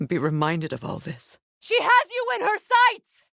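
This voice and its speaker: A woman playing a proud, guarded warrior goddess in a video game, speaking in a measured, commanding, solemn manner. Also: extremely high pitch, huge pitch swings, creaky, a bit bright, no room sound, studio quality, exaggerated voice. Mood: urgent, shouting. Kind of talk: dramatic video game acting.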